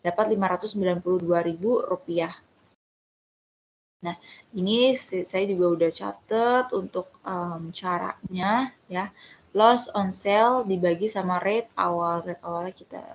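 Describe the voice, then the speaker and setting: A woman's voice: fairly low pitch, unhurried. Woman tutoring, noisy recording. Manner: conversational, often self-correcting. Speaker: accounting tutor. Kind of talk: informal step-by-step tutorial explanation.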